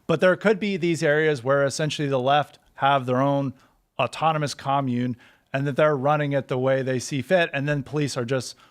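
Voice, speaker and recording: A man in his thirties is a guest on a studio political talk show. He talks in rambling, loosely built sentences into a boom-arm mic, with a mid-range voice. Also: fairly even intonation, moderate pace. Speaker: podcast guest.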